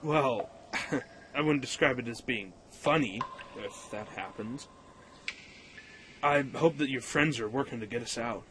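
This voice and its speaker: A man's voice: mid-range voice, a little slow, pauses often, background noise, close to an everyday voice. A man playing a cautious, earnest young pilot in a radio play, with a slightly hesitant manner. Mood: uneasy, subdued.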